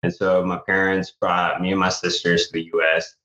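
A man from El Salvador with a very deep voice tells his story earnestly, often restarting his sentences. The sound is echoey and a little dull, like a room heard over a remote call. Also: little pitch movement, moderate pace, pushed and bright. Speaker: guest, actor and author. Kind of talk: conversational interview storytelling.

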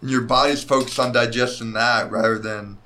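Laid-back fighter talking loosely. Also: male voice, medium pitch, fairly even intonation, brisk, sharp tone. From Mexican heritage. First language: Spanish speaker. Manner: laid-back, rambling with many likes and restarts. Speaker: mixed martial arts fighter, guest. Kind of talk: casual podcast interview.

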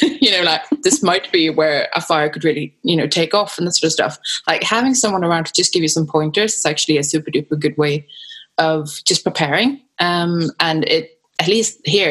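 A woman with a very low voice, originally from Sweden, talking through practical advice in a loose, chatty way. She leans on fillers and keeps circling back to her point. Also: a bit bright, husky. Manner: chatty, many fillers like "you know" and "um". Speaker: panelist. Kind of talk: casual panel discussion.

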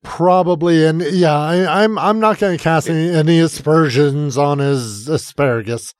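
A man's voice: on the high side, moderate pace, dry recording, clean studio sound. A man co-hosting a podcast in a casual political chat, exasperated, swearing freely and often trailing off before starting again. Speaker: podcast co-host.

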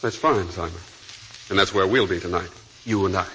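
An actor playing a menacing, bitter escaped murderer with mocking politeness and a husky voice, in 1940s radio drama style. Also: male voice, low-pitched, a little fast, sharp tone, slight background noise, low-quality recording. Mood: quietly menacing. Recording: vintage 1940s radio broadcast.

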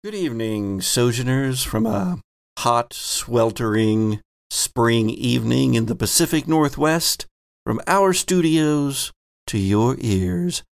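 A man hosting a tabletop game podcast, introducing and recapping the story as game master with theatrical, deliberate phrasing. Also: medium pitch, animated, gravelly, no room sound, clean studio sound.

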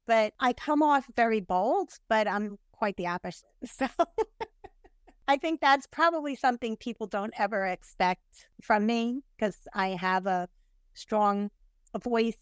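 Lively middle-aged woman agent, dry sound. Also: fairly high pitch, a little slow, smooth, no echo. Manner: energetic, laughs often, says "you know" and "right". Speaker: real estate agent, guest. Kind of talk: casual interview chat.

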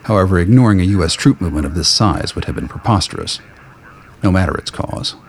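Very deep-voiced male narrator. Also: animated, fast. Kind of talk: scripted radio play narration. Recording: faint noise and ambience.

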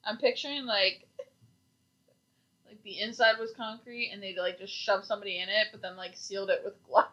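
A woman's voice: medium pitch, steady tone, normal speed, sharp tone, muffled recording. A woman co-hosting a podcast in casual chat, trading dry, teasing quips. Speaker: podcast co-host.

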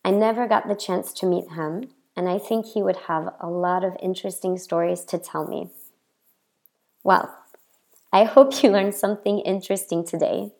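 A woman from the American South with a Southern accent, introducing herself as a language teacher in a friendly, measured podcast talk. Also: fairly low pitch, fairly even intonation, creaky, a little mellow. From Southern United States. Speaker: English teacher. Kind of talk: scripted podcast self-introduction.

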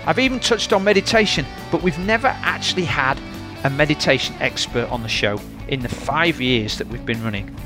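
A man with a Yorkshire accent hosting a fitness podcast, chatty and friendly, interviewing a guest. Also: on the high side. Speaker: podcast host.

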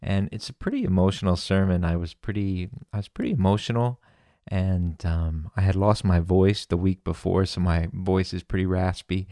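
Man from America, podcast host, very deep voice, relaxed, explanatory, dry close sound. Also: normal speed, no room sound. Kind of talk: casual podcast intro.

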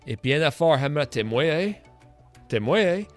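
A man's voice: on the high side, expressive, moderate pace, soft background music. A man hosting a casual interview, asking questions hesitantly and dropping English fillers. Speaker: podcast host.

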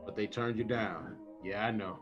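A man's voice: on the low side, fairly even intonation, normal speed, lightly acted. An actor playing a tough, slangy, streetwise man in an old-time radio drama. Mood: skeptical. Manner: slangy tough-guy talk. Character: tough, suspicious streetwise man with a soft streak. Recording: dull sound with music.

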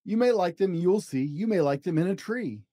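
An eager, persistent little storybook food-pusher, voiced by a man, coaxes in sing-song questions. The pitch is high and leaps about playfully. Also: lively intonation, moderate pace, mellow. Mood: eager persuasion.

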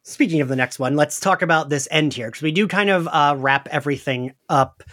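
A man speaks in relaxed, informal conversation as a podcast co-host. He is chatty and reactive, talking as he would among friends. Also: on the high side, brisk. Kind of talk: casual chat.

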